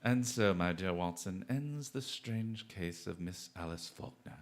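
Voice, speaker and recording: A man's voice: low voice, lively intonation, unhurried, music in the background. Man acting a swooping, urbane British detective. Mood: wry, self-assured. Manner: urbane, wry, hesitant drawn-in phrasing. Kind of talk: theatrical radio drama acting.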